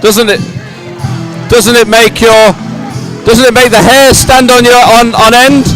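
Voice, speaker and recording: Middle-aged male sports commentator, high voice, effusive and sentimental, ad-libbed, repeats himself for emphasis, loud music behind. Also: level tone, unhurried, a faint crowd, low-quality recording. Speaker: event commentator. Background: loud stadium music at a medal ceremony.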